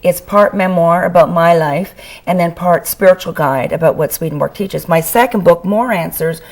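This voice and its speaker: Canadian woman guest with a hoarse voice. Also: lowish voice, normal speed. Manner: enthusiastic, chatty. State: losing her voice. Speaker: author guest. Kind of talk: talk show interview. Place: TV studio.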